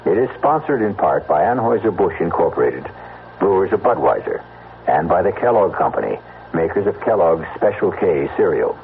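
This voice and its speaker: Casting: male suspense radio host, deep, deliberate, dramatic, narrow vintage broadcast sound. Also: sing-song, vocal fry, slight background noise. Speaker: host and narrator. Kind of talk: scripted suspense radio narration. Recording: tinny vintage radio, music behind.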